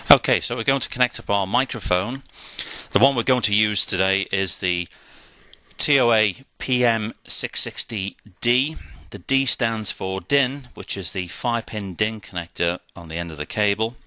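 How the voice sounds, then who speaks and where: A man's voice: fairly low pitch, normal speed, bright, forceful tone, clear, resonant tone, no echo. A man giving a methodical, step-by-step instructional equipment demonstration. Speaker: product demonstrator.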